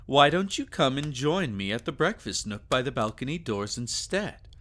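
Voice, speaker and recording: A man playing a teasing, doting vampire lord in an intimate ASMR romance roleplay, with soft chuckles and sighs. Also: mid-range voice, sing-song, moderate pace. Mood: teasing, flirtatious.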